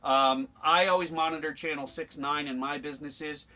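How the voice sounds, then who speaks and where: A man's voice: on the high side, steady tone, normal speed, sharp tone. An American man giving an informal, plain-spoken instructional demonstration as a sailing instructor, emphatic and repeating his points.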